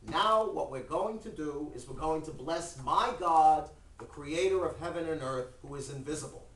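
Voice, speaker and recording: A man's voice: a little high, normal speed, a bit bright, natural voice. A man telling a comic story, voicing a zealous, hot-tempered patriarch who talks in blunt slang. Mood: pious. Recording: roomy, distant mic with background noise.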